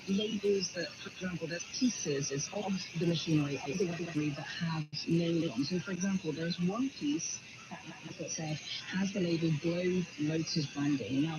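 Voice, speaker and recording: A man working as a journalist, explaining evidence step by step in a news interview, often repeating words as he goes. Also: on the high side. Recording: dull shortwave radio with loud noise.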